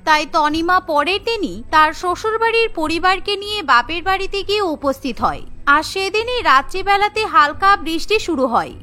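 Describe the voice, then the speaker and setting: A woman's voice: extremely high pitch, expressive, normal speed, bright, forceful tone. A woman narrating a scripted cartoon story as the storyteller.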